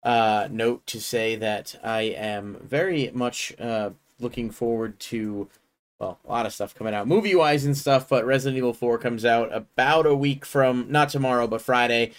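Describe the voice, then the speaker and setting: A young man hosting a casual podcast, a little hoarse from a sore throat, talking in a relaxed, rambling way with many fillers. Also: mid-range voice, moderate pace. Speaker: podcast host. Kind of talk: casual chat.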